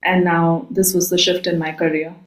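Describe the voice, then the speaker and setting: A woman's voice: on the low side, little pitch movement, normal speed. Woman from Delhi in a roomy space. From Delhi, India. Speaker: guest, life coach and healer. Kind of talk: casual self-introduction. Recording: roomy echo.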